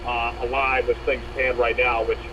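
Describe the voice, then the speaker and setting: A man's voice: mid-range voice, little pitch movement, normal speed, dull audio, over a noisy crowd. An American man doing unscripted sports talk, heard from a noisy AM radio speaker.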